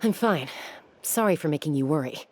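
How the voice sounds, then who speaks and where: A woman's voice: sits low, animated, a little fast, darkish tone, a little hiss or hum. A woman voicing an earnest, guarded, wistful young heroine in a video game. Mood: reflective.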